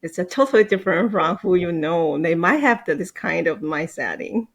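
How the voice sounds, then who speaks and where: A woman, a Mandarin speaker, chatting on a podcast in halting, hesitant English. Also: fairly low pitch, lively intonation. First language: Mandarin Chinese. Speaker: podcast guest, team manager. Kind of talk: casual podcast conversation.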